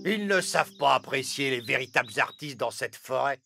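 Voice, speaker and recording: An actor voicing a carefree, vain male cicada musician in a French children's animated fable, with a theatrical, playful, sing-song delivery. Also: mid-range voice, moderate pace, creaky. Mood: disdainful. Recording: loud music behind the voice.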